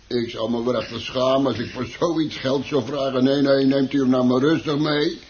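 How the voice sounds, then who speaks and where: A man's voice: medium pitch, fairly even intonation, normal speed, a little mellow, lightly acted. An actor playing a folksy, insistent man in a radio play, colloquial and deferential in manner. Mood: insistent, generous. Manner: colloquial, deferential, repeatedly saying sir. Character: folksy, insistent man giving away a strange creature. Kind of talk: Dutch radio play acting. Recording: dull, noisy old radio play recording.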